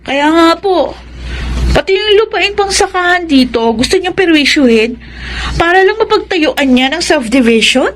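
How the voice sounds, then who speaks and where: An actress playing a respectful, concerned young Filipino granddaughter from a farm in a radio drama. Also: female voice, extremely high pitch, expressive, normal speed, slight background noise. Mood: indignant.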